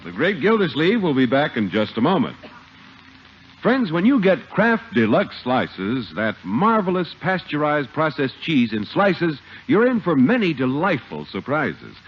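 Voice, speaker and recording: Very animated vintage radio announcer man. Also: on the high side, measured pace, soft background music. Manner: enthusiastic, polished salesmanship. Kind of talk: 1950s radio commercial read. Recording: muffled 1950 radio recording.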